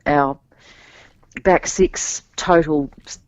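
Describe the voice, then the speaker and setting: A woman co-hosting a sports podcast, talking casually and a bit hoarse from cheering, with many restarts and fillers. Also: low voice, very slow, a little mellow, dry recording. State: slightly scratchy voice from cheering. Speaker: podcast co-host. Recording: dull, dry lo-fi podcast mic.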